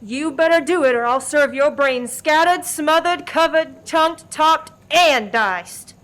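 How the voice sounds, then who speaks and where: A woman's voice: high-pitched, takes it slowly, a bit bright. A woman playing a tough, practical diner character in a comic radio play, blunt and commanding, with background noise. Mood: menacing.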